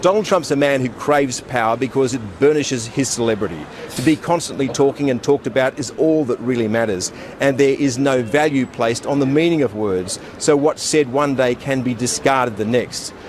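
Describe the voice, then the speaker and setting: A man giving a deliberate, critical political commentary. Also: mid-range voice, a little hiss or hum. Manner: grave, deliberate critical analysis. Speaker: political commentator in a radio clip. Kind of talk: measured spoken commentary.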